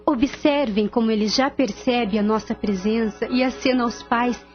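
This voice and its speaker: Serene female spirit teacher, played by an actress, Brazilian, formal, instructive radio-drama delivery, fairly high register, dull audio. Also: fairly even intonation, moderate pace, close to an everyday voice. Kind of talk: radio drama acting, didactic explanation. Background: music.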